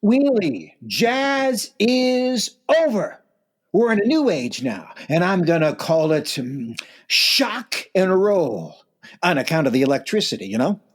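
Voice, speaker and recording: A man's voice: sits high, very animated, measured pace, exaggerated voice. Wildly swooping, smug music agent played by a man. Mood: dismissive. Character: self-absorbed, trend-chasing male music agent, smug and dismissive. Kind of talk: comedic radio play acting.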